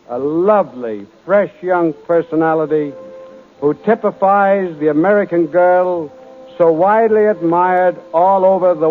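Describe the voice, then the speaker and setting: A man giving a formal, solemn, oratorical introduction as a studio executive on an old 1930s radio broadcast. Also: high voice. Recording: tinny, phone-like 1930s radio recording.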